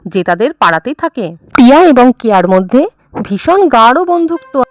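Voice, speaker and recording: একজন নারী বাচ্চাদের ভূতের গল্প লিখিত পাঠ থেকে বর্ণনা করছেন।. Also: female voice, a little high, big pitch swings, normal speed, no echo, clean studio sound. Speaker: storyteller. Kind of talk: scripted children's horror story narration. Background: faint music.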